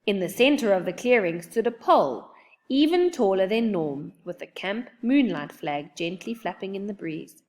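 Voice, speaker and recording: Woman reading a children's story, very animated. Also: medium pitch, moderate pace, big-room sound. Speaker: storybook reader. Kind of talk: expressive children's story read-aloud.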